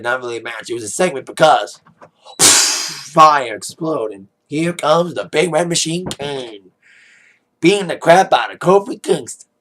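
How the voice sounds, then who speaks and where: A man with a raspy, worn-out voice giving a casual spoken review, rambling with plenty of "like" and "uh". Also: on the high side, a bit bright. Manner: rambling, heavy on "like", "uh" and "you know". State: hoarse, voice fading after a DJ gig. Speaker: wrestling pay-per-view reviewer.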